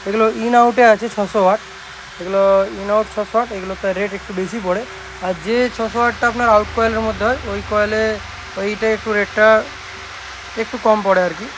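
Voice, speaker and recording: A man's voice: sits high, brisk. A young man with a West Bengal Bengali accent, presenting products casually in an informal, repetitive, reassuring way. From West Bengal, India. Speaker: speaker repair technician and channel host. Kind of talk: casual product showcase vlog. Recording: loud steady background noise, amateur recording.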